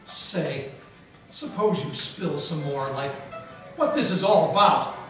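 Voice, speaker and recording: A man narrating and playing a wisecracking hardboiled detective in a live 1940s radio play, deadpan. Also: on the high side, takes it slowly. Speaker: narrator and lead actor. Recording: echoey hall from far off with music.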